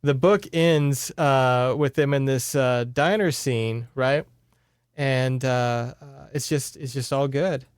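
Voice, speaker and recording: A man's voice: mid-range voice, slow, smooth, no echo, studio quality. A man co-hosting a podcast, recounting a book in casual chat with wry, mock-grandiose humor. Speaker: podcast co-host.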